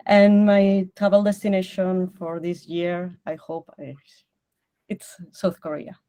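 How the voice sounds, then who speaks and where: A woman from Barcelona, introducing herself in a casual online chat, hesitant and halting. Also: fairly low pitch, flat delivery, warm tone. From Spain, Barcelona. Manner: hesitant, halting, laughs often. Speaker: participant.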